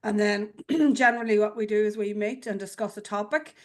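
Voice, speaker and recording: A woman's voice: mid-range voice, a little fast. A woman with a head cold, sounding stuffed up, introducing her training work on a video call with many ums. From Australia. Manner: fluent, with frequent ums and throat clearing. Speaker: cybersecurity trainer and speaker.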